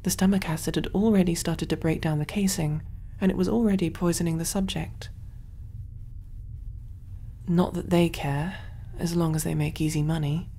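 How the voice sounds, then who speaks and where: A woman voicing a kind, clinical alien surgeon in a gentle, reassuring ASMR roleplay, soft-spoken and often whispering. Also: on the low side, fairly even intonation, normal speed, a little hiss or hum, lightly acted. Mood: focused, curious.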